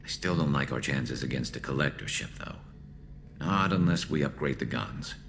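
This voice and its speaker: A man voicing a battle-scarred alien soldier in a video game, loyal and dryly wry, with a gravelly voice. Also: moderate pace, fairly bright tone. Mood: dry, steady. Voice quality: gravelly, very deep. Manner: dry, wry, clipped. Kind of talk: video game dramatic acting.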